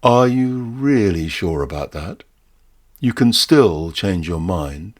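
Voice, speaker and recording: A man voicing an ominous, courteous barman in a short story, speaking slowly and formally with deliberate, portentous solemnity. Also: sits low, lively intonation, exaggerated voice. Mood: solemn warning.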